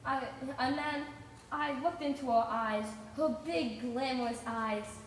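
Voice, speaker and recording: A woman's voice: high-pitched, measured pace. A woman playing an earnest, gullible and lovestruck young bridegroom, polite and guileless, in a stage musical comedy. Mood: dreamy, lovestruck. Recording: echoey hall, faint background noise.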